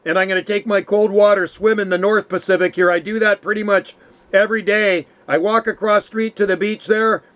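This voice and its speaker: A man's voice: high-pitched, a bit bright, clean tone. A man with a North American accent chatting casually and easily as an amateur radio host. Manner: easygoing, chatty, says "man" often.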